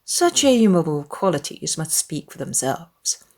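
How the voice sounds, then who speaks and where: A woman reading a dramatized literary audiobook in an expressive, measured storytelling manner. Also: low voice. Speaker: audiobook narrator.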